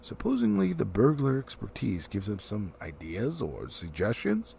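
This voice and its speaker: A stern, proud dwarf leader from a storybook, acted out by a man. He sounds pompous and impatient, with a gravelly voice and animated pitch over faint noise. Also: normal speed, full character voice. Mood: mocking, impatient.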